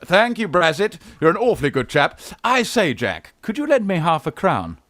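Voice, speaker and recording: An actor playing a bashful, good-natured young upper-class English student in a Victorian radio farce, hesitant and stammering. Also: male voice, sits high, wildly swooping pitch, normal speed, a bit bright, no echo, clean studio sound, exaggerated voice. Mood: amiable. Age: young adult. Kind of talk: radio play farce acting.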